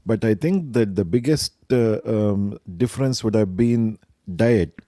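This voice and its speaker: A middle-aged man, answering family interview questions in a measured, reflective way. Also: on the low side, warm tone, no echo, clean studio sound. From India. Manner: measured, reflective, some hesitations. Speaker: interviewee, stepfather.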